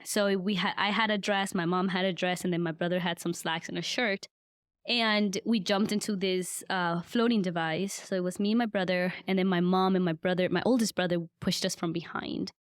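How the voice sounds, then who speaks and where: Smooth-voiced woman telling her story. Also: on the low side, steady tone, moderate pace, no room sound, studio quality. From Guatemala. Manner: earnest and reflective, with frequent fillers. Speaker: podcast guest. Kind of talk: conversational life-story interview.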